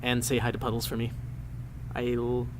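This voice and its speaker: A man's voice: medium pitch, monotone, close to an everyday voice. Sheepish man on voicemail acted, faint noise. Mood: apologetic, fond. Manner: halting, sheepish. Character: awkward, apologetic, fond man leaving a phone message. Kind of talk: naturalistic audio drama acting.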